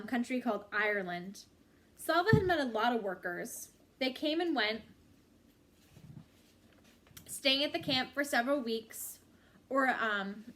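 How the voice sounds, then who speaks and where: Higher-voiced woman reading a story aloud. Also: expressive, measured pace, fairly bright tone. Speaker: reader reading a book chapter aloud.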